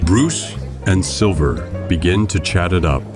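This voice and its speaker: A man narrates an audio drama from a script. His voice sits very low, and music plays clearly beneath it. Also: lively intonation, a little slow.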